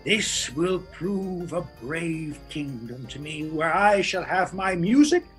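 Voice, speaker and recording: High-voiced, blustering drunken butler played by a man. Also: lively intonation, slow pace, soft background music. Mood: smug swagger. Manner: blustering, boastful. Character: drunken, swaggering butler turned would-be island king. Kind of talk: Shakespearean radio play acting.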